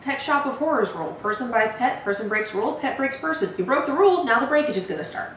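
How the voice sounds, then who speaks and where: A woman's voice: fairly high pitch, animated, fast, a bit bright. A woman co-hosting a reaction show, giving blunt, wry opinions in casual film review chat. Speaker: co-host of a horror trailer reaction show. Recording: heavy room echo, distant mic, faint noise.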